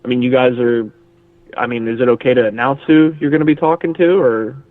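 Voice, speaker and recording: A man's voice: mid-range voice, steady tone, fairly quick, no room sound. Joking male guest on a tinny phone line. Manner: self-deprecating, joking. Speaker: podcast guest. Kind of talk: casual podcast chat.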